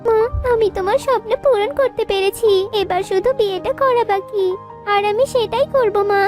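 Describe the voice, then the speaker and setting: A woman's voice: extremely high pitch, moderate pace, darkish tone, faint music behind. A woman voicing a devoted young daughter bird, plaintive and earnest, in a children's cartoon melodrama. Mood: earnest, resolved.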